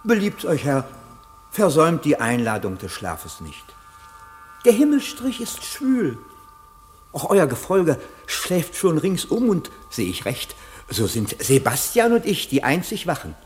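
An actor playing a scheming, mocking courtier in a theatrical, insinuating manner in a German radio play. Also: male voice, on the high side, very animated, measured pace, slight background noise. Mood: smooth, attentive.

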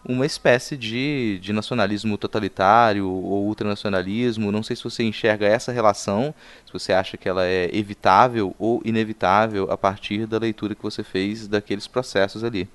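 Brazilian guy hosting a podcast, lowish smooth voice, feels his way through long questions, repeats words. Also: steady tone, no room sound. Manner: halting, repeats words while forming long thoughts. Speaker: podcast host, interviewer. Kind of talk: conversational, reflective interview questioning.

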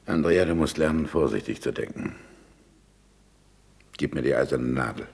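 An actor playing a shrewd, commanding older scholar in a 1960s German radio drama, lecturing with deliberate emphasis. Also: male voice, a bass voice, creaky, a little mellow. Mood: probing, didactic. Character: Galileo Galilei, commanding, shrewd, wary scholar and teacher. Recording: noisy old radio drama recording.

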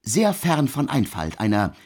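Proud brainy composer in a radio play, bit husky, earnest n eloquent, lecturing. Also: male voice, mid-range voice, huge pitch swings, unhurried, darkish tone. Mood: intense, cerebral. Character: proud, intellectual German composer, hiding inner tremor. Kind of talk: literary radio drama dialogue.

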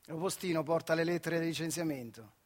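A man acting a plain-spoken factory worker in a radio theatre monologue. His high voice carries a central Italian accent as he tells his story in animated, colloquial turns, proud and stubborn, tossing in rhetorical questions. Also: a little fast, close to an everyday voice. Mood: reminiscing. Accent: central Italian dialect. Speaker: actor-narrator.

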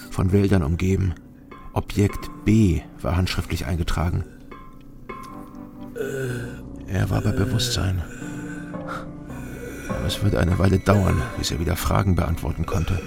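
An actor playing a weary, hard-drinking private detective who narrates a crime radio drama in terse, clipped sentences. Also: male voice, deep voice, creaky. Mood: terse, sober. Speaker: narrator and lead investigator.

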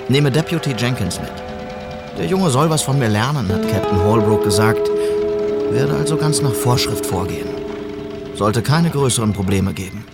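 An actor playing a dutiful, earnest police sergeant in a German radio western, speaking in clipped, terse phrases. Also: male voice, mid-range voice, close to an everyday voice. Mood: matter-of-fact. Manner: clipped, terse log-entry phrasing, sober and determined. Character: dutiful, earnest male police sergeant in 1890s frontier America.

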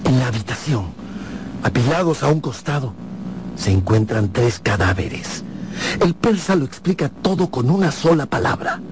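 An actor playing a dramatic storyteller, narrating a radio drama in a measured, suspenseful way. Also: male voice, medium pitch, big pitch swings, background noise, close to an everyday voice. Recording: clear music behind the voice.

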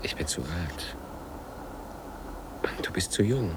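A man's voice: low voice, lively intonation, slow pace, whispered. An actor in a radio drama playing a gloomy, sardonic older man, terse and dry, often questioning. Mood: resigned.

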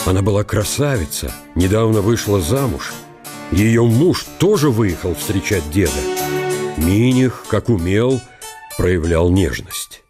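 Low-voiced male storyteller over faint music. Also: animated, measured pace. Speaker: narrator of a radio audio play. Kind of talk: literary scripted narration of a historical story.

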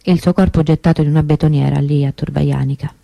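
An actress playing the grieving, bitter sister of a kidnapped girl in a radio monologue, measured and emphatic. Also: sits low, steady tone, vocal fry, mellow, no echo. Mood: grave recounting.

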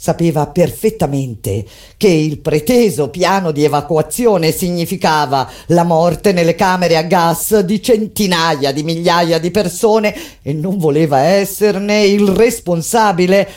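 A woman performing a theatrical monologue as a grave resistance fighter recounting an uprising, in a solemn, deliberate, declamatory delivery. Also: fairly low pitch, close to an everyday voice. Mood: grave, tense. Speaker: actress narrating a stage monologue. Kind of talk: theatrical dramatic reading of a survivor's testimony. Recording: theatrical reading over prominent music. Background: loud musical accompaniment.

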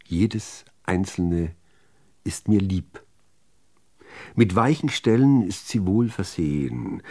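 A man reciting literary poetry in deliberate, measured phrases with pauses between them. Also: on the low side, warm tone. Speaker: reader of poetry.

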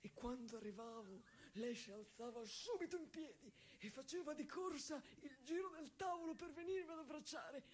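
A woman playing a gentle, wistful husband confined in an asylum, in an intimate stage monologue with halting, simple, repetitive, emotive phrasing. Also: high-pitched, a little hiss or hum, natural voice. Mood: fond, wistful.

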